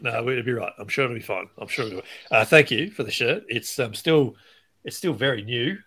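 A man's voice: medium pitch, animated, fairly quick, husky. A man hosting a rugby podcast, upbeat and chatty, reading sponsor lines between casual banter. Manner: upbeat, chatty, trails off quietly at phrase ends. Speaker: podcast host. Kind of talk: casual podcast chat with scripted reads.

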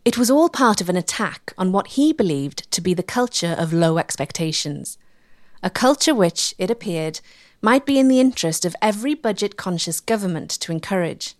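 A woman delivering scripted documentary narration in a measured, rhetorical manner with emphatic phrasing. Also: on the low side, animated, no echo, clean studio sound.

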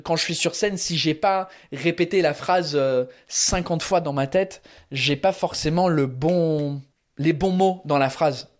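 Hesitant non-native man with a slightly high voice. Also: unhurried. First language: not French. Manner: hesitant, many fillers and restarts, drops English phrases. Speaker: stand-up comedian, guest. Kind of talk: relaxed interview answer.

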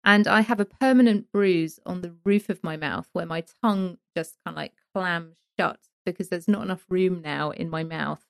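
A woman, co-hosting a podcast and telling personal stories in a relaxed, confiding way. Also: lowish voice, normal speed, gravelly, few pauses, dry recording. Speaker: podcast co-host. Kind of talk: casual book discussion chat.

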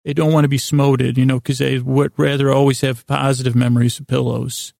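Creaky-voiced, mellow man rambling gently. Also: medium pitch, little pitch movement, no echo, clean studio sound. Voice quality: creaky, gravelly. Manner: meandering, halting, self-interrupting, goofy. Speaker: podcast host. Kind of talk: rambling improvised bedtime podcast monologue.

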